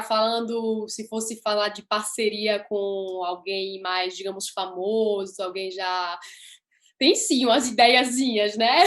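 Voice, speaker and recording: A woman's voice: on the high side, fairly bright tone. A young woman from Italy, Italian her first language, an upbeat singer answering interview questions in chatty, laughing style.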